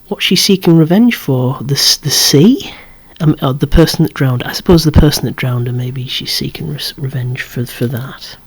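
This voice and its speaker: A person whispering an ASMR show-and-tell of a collection in a hushed, breathy, unhurried way, musing aloud with wry asides. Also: medium pitch. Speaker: toy collector presenting a collection.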